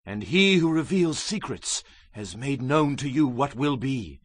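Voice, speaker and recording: A man's voice: mid-range voice, animated, measured pace, no room sound. An actor playing a solemn male prophet, declaiming emphatically in a dramatized scripture reading. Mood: solemn, awed. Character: Daniel, male prophet solemnly interpreting a king's dream.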